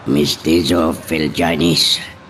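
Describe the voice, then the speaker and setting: A man's voice: very deep voice, flat delivery, slow pace, fairly bright tone, exaggerated voice, poor audio. A man with an Ilocano accent acting a deferential, hesitant henchman of a crime family in a radio drama. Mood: guarded. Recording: clear background noise.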